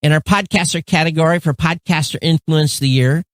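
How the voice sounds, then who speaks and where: A man's voice: on the high side, a little fast, dry recording, clean studio sound. A man reading out a formal award announcement as the presenter.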